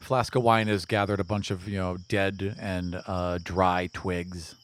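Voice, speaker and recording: A man's voice: low-pitched, flat delivery, unhurried, clean tone. A man narrating a tabletop game as game master in a descriptive, conversational way, with night crickets behind him. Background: crickets and night insect ambience.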